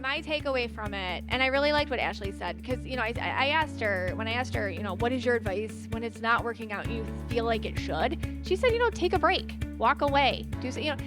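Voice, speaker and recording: A woman's voice: fairly high pitch, animated, fairly quick, hardly any pauses, over music. A woman hosting a podcast, chatting casually and bubbly, often laughing, as she reads her guests' energy. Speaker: podcast host and aura reader.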